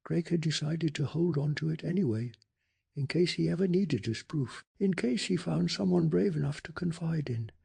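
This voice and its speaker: Breathy, soft-spoken male storyteller. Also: a little high, normal speed. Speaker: ASMR storyteller. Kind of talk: soft-spoken ASMR bedtime story narration.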